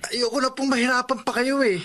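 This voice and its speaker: An actor playing an eager, devoted young son in a Filipino drama, with a Filipino accent. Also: male voice, extremely high pitch, level tone, fast, bright, forceful tone. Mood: happy, eager. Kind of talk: Filipino radio-style drama acting.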